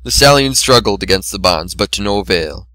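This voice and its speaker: A man's voice: lowish voice, expressive, brisk, bright, forceful tone, dry recording. A man reading a story aloud as scripted narration, in a dramatic style. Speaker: amateur reader of fan fiction.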